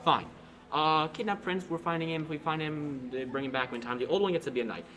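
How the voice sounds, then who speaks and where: A man's voice: on the high side, fast, fairly bright tone, natural voice. A teenage student actor playing a scornful, bossy young nobleman in a radio play, sneering and dismissive. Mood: impatient. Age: teens. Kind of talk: amateur radio play acting. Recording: noisy amateur home recording.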